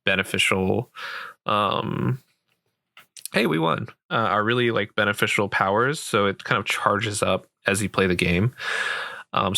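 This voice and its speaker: A man playing a sweet, patient gamer boyfriend, softly and gently explaining a game in a casual, rambling roleplay. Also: on the low side, no room sound, clean studio sound. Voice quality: soft, creaky voice. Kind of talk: roleplay ASMR, casual improvised game tutorial.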